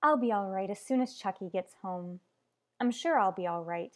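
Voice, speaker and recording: A woman's voice: medium pitch, normal speed, close to an everyday voice. A woman playing an anxious, clinging mother in an old-fashioned radio soap opera, speaking in an earnest, emotional way.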